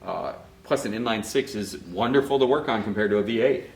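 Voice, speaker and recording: A man co-hosting a podcast, chatting casually with quick, enthusiastic asides. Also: on the low side, creaky. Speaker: podcast co-host. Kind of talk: casual chat. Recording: roomy echo.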